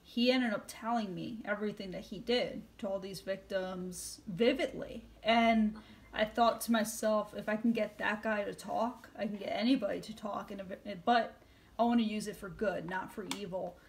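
Casual woman interviewer, medium pitch. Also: fairly even intonation, moderate pace. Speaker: interviewer, documentary maker.

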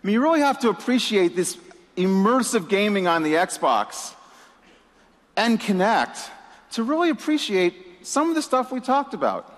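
A man's voice: high voice, big pitch swings, normal speed. A man giving an upbeat conference keynote, emphatic, with a few "you know" fillers. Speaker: keynote presenter. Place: large conference hall. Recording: reverberant hall with audience.

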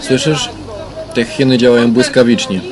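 An actor playing a world-weary, blunt police detective talking colloquially in a crime radio drama. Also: male voice, mid-range voice, steady tone, normal speed, crowd noise, natural voice. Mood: wry, blunt.

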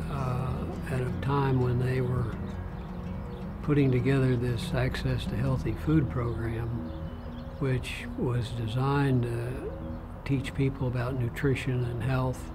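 An older man in his sixties or seventies recollecting in a documentary interview, recorded outdoors. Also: mid-range voice. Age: 60s or 70s. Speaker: board member. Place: outdoors in a park, seated. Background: music, birds.

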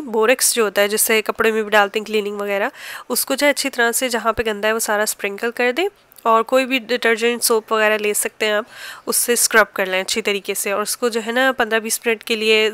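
A woman with a Pakistani accent narrates a household vlog in a chatty, explanatory style. Her voice sits fairly high and is very smooth and clear. The sound is dry, with little room in it. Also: fairly bright tone, no room sound. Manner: chatty, explanatory, homely everyday talk. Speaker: home cooking and family vlogger. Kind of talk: casual vlog voice-over narration.

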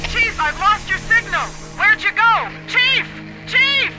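A woman's voice: very high voice, animated, slow pace, over music, heavily acted. A woman voicing an anxious female AI companion in a sci-fi game, calling urgently over radio. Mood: worried, urgent. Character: anxious female AI companion searching for her soldier.

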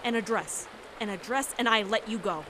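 A woman's voice: mid-range voice, normal speed, bright, forceful tone, slight background noise, natural voice. A woman playing a cold, menacing interrogator in a radio noir drama, speaking curtly. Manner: curt, threatening.

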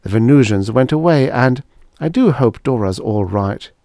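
A man voicing an anxious, quick-minded small-town woman. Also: mid-range voice, expressive, mellow, no room sound, clean studio sound, voice pushed up, lightly acted. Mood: relieved. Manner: urgent, raised voice.

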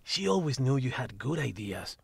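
A sarcastic male talking cat from an audio drama, as a man voices him, gravelly and husky with a gritty rasp under every line. He is blunt and swears freely, and the recording is close and dry. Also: medium pitch, big pitch swings, moderate pace, dry recording, full character voice. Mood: gentle. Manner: sarcastic, blunt, swears, drops Spanish words. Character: grumpy foul-mouthed male talking cat. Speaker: magical shop cat.

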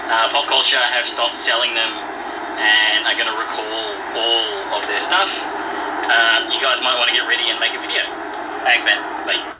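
A man's voice: medium pitch, little pitch movement, normal speed. An Australian man leaving a casual voice message with easygoing "uh" and "um" fillers. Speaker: listener sending a voice message. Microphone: phone. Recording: phone voice message played back from a distance, noisy.

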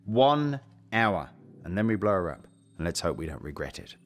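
An actor playing a decisive, wry spaceship captain in an audio drama. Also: male voice, sits low, big pitch swings, moderate pace. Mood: commanding. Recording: faint noise behind the voice.

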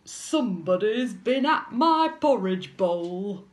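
A big storybook father bear, acted out by a woman, growls with loud indignation. The delivery is gruff and booming, with slow, weighty phrasing. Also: expressive. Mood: indignant growl.

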